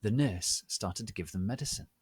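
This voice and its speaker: A man giving a deliberate, theatrical dramatic reading of fan fiction as narrator. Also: lowish voice, breathy edge, no room sound. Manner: deliberate, theatrical, reads misspellings exactly as written.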